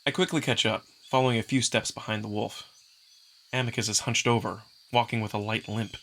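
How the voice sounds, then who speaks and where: A man's voice: lowish voice, fairly even intonation, fairly quick, a bit bright, a little hiss or hum. A man reading first-person visual novel narration as a scripted storyteller. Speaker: narrator and voice performer.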